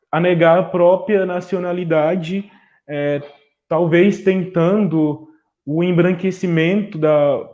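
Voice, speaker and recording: A man from Maranhão, a guest, speaking in a measured, reflective way. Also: on the high side, warm tone. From Maranhão, Brazil. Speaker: guest, student activist. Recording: dull lo-fi call audio.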